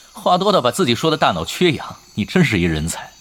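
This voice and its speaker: An actor playing a sly, laid-back veteran gamer in an audio drama, with a dry, teasing manner. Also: male voice, on the high side, huge pitch swings, normal speed, fairly bright tone, slight background noise. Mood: calm, wry.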